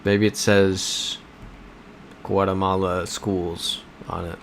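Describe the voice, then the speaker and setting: A man with an American accent chats casually on a live stream in a low voice, reading viewers' messages back to them. He talks through his reasoning as he goes, and a faint hiss sits under the recording. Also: flat delivery, takes it slowly, creaky. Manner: reads chat messages aloud and reasons through map clues.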